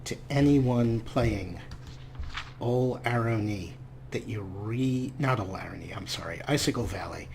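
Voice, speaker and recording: A man's voice: on the low side, a little slow, darkish tone. Chatty man narrating, noisy background. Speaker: let's play host. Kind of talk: casual let's play commentary with some read-out game text.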